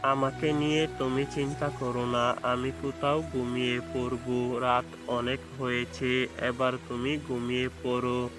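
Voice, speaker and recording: A man's voice: mid-range voice, level tone, unhurried, over music, lightly acted. A man voicing a sly, scheming cartoon vulture who coaxes and plots while pretending to be helpful. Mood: falsely reassuring. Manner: coaxing, falsely reassuring, plotting. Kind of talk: animated children's fable dialogue.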